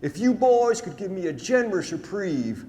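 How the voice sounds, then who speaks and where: A man playing a charming, smooth-talking gambler in a theatrical, projected stage ballad performance. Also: high voice, sing-song, moderate pace, dark tone, pitched above the performer's own voice. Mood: cajoling. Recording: hall reverb, noisy live theatre recording.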